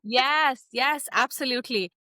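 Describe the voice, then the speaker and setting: Bright, upbeat woman hosting. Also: high-pitched, a little slow, dry recording, studio quality. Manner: upbeat, encouraging, affirming the guest. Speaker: podcast host. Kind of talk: interview hosting.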